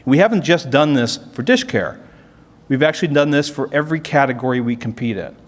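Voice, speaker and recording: A man's voice: medium pitch, lively intonation, a little fast. A man giving an explanatory business conference presentation, posing questions and then answering them as a corporate presenter. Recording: reverberant hall sound.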